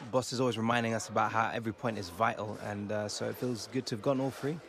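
A man with a British accent playing a modest, polite young footballer giving a measured post-match interview in a game. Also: fairly low pitch, fairly even intonation, a bit bright. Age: young adult. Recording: clear background ambience noise.

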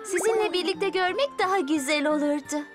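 A woman's voice: very high-pitched, brisk. A woman voicing a friendly, slightly shy, childlike young tram in a dubbed children's cartoon. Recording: children's music behind.

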